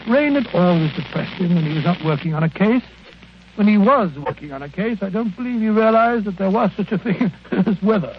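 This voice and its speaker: Old English gentleman doctor, blustery, rambling, high voice, 1940s radio drama, hissy recording. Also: animated, fairly quick, muffled recording. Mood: rambling, genial. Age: older. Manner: chuckling, stammering, rambling.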